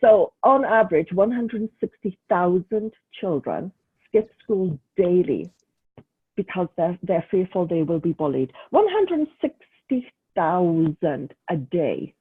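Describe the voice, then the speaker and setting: Guest: middle-aged woman, husky, earnest and emphatic, heard over a tinny phone-like line. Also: on the low side, expressive, slow. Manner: earnest, emphatic, repeats words for stress. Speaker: guest, retired nurse and mental health advocate. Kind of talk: casual interview talk. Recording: tinny phone-like remote call audio.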